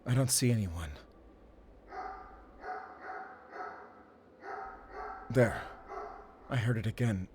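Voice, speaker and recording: A man's voice: lowish voice, noisy background, lightly acted. A man playing a wounded, stoic werewolf hunter who speaks in terse, strained phrases in a cinematic audio drama. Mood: wary, puzzled. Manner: terse, halting, strained delivery. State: hurt, weakened. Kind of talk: cinematic audio roleplay acting.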